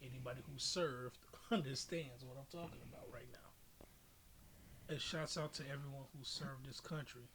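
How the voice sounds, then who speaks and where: A man's voice: mid-range voice, fairly even intonation, moderate pace, crowd noise. A man hosting a podcast in casual chat, talking in an opinionated, profane and rambling way. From military family, lived in Japan growing up. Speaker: podcast host.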